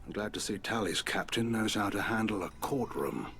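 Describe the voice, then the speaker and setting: Blunt, low-voiced alien military admiral. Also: male voice, moderate pace, fairly bright tone. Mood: blunt, supportive. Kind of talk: video game voice acting. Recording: clear background noise.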